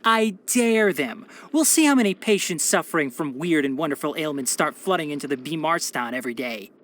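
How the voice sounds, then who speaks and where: A man's voice: on the high side, animated, brisk, a bit bright. A man voicing a brainy, caring young fox-eared forest watcher in a video game, articulate and bookish with a dryly sardonic manner. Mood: dry defiance. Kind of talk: scripted video game character voice lines.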